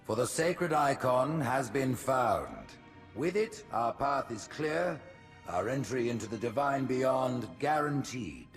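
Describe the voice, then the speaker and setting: Casting: stately alien hierarch in a game, measured grand orator with wide-swinging delivery. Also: male voice, medium pitch, lively intonation, soft background music. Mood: exultant. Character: smooth-talking, grandiose alien prophet leader. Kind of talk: video game cutscene acting.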